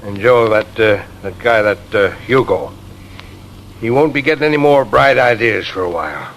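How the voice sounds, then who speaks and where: A kindly cop offers awkward sympathy in a lowish voice. He is plain-spoken and hesitant, in an old radio drama with faint background noise. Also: expressive, moderate pace, fairly bright tone, poor audio. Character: awkwardly sympathetic male police officer, gruff but kindly. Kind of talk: 1950s radio drama acting.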